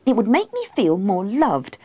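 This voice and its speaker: A woman's voice: medium pitch, huge pitch swings, normal speed, darkish tone, husky, no echo, pitched above the performer's own voice. A woman voicing a needy, insistent wife, pleading and indignant, in a comic book reading.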